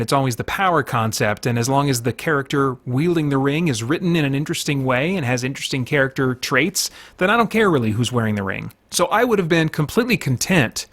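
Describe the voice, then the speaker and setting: A man hosting a review show, talking in a casual, opinionated way with earnest, halting delivery and emphasis on key words. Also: medium pitch, a bit bright. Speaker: host of a comics review series.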